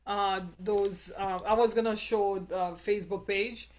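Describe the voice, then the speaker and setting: A woman hosting a casual live-stream chat, talking in an excited, rambling, upbeat way. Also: mid-range voice, a little slow. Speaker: show host.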